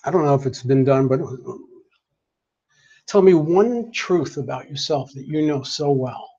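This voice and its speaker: A man's voice: on the high side, lively intonation, a little slow, mellow. A man chatting casually as a game player, asking playful, probing questions. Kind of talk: casual chat. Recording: dull lo-fi sound.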